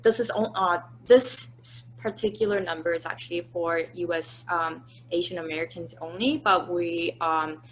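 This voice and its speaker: A woman's voice: lowish voice, slight background noise, rough recording. A woman appearing as a guest panelist on a conversational webinar from home, polite and articulate with occasional fillers. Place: at home, indoors.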